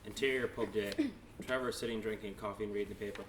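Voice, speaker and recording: A man's voice: lowish voice, fairly even intonation, quick pace, noisy background. A man narrating stage directions at a table read, plainly, with small stumbles as he reads.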